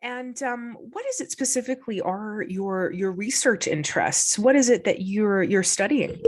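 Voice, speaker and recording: A woman's voice: medium pitch, animated, moderate pace. A woman hosting a casual interview, upbeat and encouraging toward her guest. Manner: upbeat, encouraging, with frequent "hmm" and "brilliant". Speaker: podcast host.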